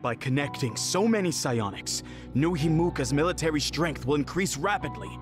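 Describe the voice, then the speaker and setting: An actor voicing a stern, fanatical elder brother in a video game, commanding and condescending in dramatic delivery. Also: male voice, fairly high pitch, lively intonation, moderate pace, natural voice. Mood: grim, lecturing. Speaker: villain. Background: dramatic background music.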